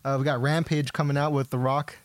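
A man's voice: medium pitch, flat delivery, brisk, dry recording. A man co-hosting a podcast, chatting casually about films and games. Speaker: podcast co-host.

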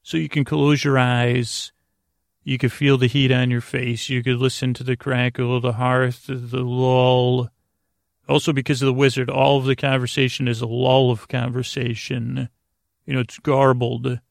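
A creaky, fry-laden man's voice at a middling pitch, in a dry recording with no room sound. He hosts a podcast meant for bedtime, meandering from tangent to tangent. His delivery is halting, and he keeps breaking off and rephrasing his own thoughts. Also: little pitch movement, dry recording. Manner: meandering, hesitant, halting self-interruptions. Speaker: podcast host. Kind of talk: rambling, tangent-filled bedtime podcast monologue.

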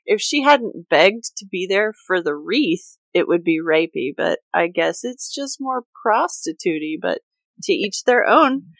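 A woman's voice: on the low side, expressive, unhurried, fairly bright tone, dry recording. Woman, podcast host, casual discussion, jokey and informal, very dry close sound. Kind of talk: casual scripted discussion of folklore.

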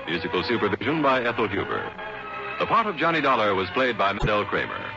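A man's voice: lowish voice, huge pitch swings, quick pace, gravelly. A man announcing a 1960s radio show, reading in a scripted broadcast style. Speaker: program announcer. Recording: dull old radio broadcast recording. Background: music.

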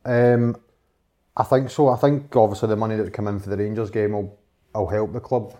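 A footballer talking frankly and thoughtfully in a casual chat, swearing casually. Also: male voice, lowish voice, steady tone, brisk, warm tone. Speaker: footballer, guest or co-host.

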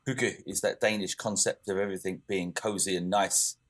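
Casual chat; young male, low register, rambling delivery. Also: fairly even intonation, moderate pace, a bit bright. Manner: rambling, many fillers and restarts. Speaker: podcast co-host.

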